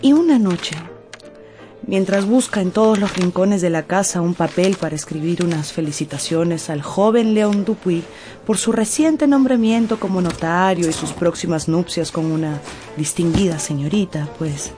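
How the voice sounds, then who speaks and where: Low, mellow woman narrating dramatically. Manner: measured, dramatic storytelling with weighted pauses. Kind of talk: dramatic scripted radio novel narration. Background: music.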